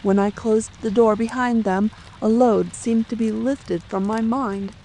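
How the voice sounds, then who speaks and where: Casting: woman to play distressed protective widow, audiobook drama; unhurried formal delivery, faint rain underneath. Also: on the high side, steady tone, warm tone, slight background noise, rough recording. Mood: anxious, sorrowful. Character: distressed, fearful middle-aged widow, protective mother. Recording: faint rain ambience behind.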